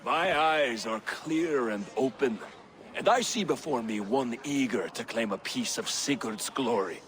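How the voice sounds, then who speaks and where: A man's voice: medium pitch, expressive, unhurried, a bit bright. A man playing a blunt, jealous Viking warrior in a video game, confrontational and challenging in his speech. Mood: bitter, challenging.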